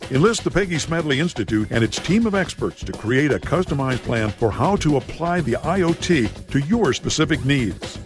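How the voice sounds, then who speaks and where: Guy reading an ad, very lively intonation, music behind him. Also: on the high side, normal speed, hardly any pauses. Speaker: advertisement announcer. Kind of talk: scripted commercial read. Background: music bed.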